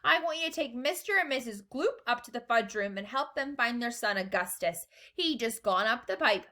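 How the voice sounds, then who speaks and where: Eccentric, excitable gentleman for a children's tale, acted by a woman: animated, bright, reassuring, grandly emphatic. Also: on the high side, normal speed, voice pushed up. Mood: brisk, commanding. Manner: emphatic, theatrical repetition.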